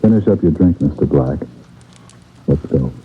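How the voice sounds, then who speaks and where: An actor playing a cool, authoritative detective, dryly probing, in a 1970s radio mystery drama. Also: male voice, rumbling low, expressive, normal speed. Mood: quietly menacing. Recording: dull, faintly noisy vintage radio broadcast.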